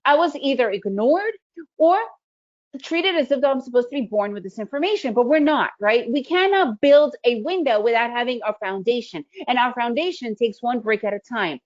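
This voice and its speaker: Woman, middle-aged, native Russian speaker, fairly high voice, webinar teacher, conversational, slightly muffled audio. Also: lively intonation, moderate pace. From Soviet Union, raised in the United States. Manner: conversational, often asks "right?". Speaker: day trading educator. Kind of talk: webinar presentation. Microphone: video call.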